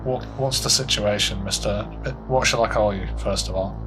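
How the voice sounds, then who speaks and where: A man with a fairly low voice banters as a comedy podcast's advice-giver. His delivery is casual and deadpan, with music clearly playing behind him. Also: moderate pace. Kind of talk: casual improvised comic banter.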